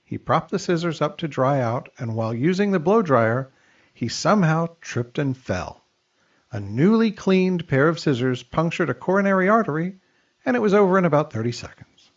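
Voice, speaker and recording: A man's voice: a little high, big pitch swings, moderate pace, muffled recording. An actor playing a folksy male coroner explaining how a death happened.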